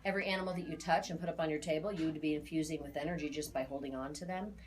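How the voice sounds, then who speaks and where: A woman in her forties with a slightly low voice. She talks informally and emphatically to clients as an aura reader, chatting along and repeating key phrases to drive them home. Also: fairly even intonation, racing, a little mellow. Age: 40s. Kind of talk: informal spoken reading to clients.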